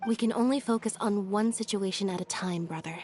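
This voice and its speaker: A woman's voice: medium pitch, a little fast, darkish tone, slight background noise, lightly acted. A woman playing a level-headed, reserved young sister in a video game, speaking in a detached, matter-of-fact way. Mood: calm.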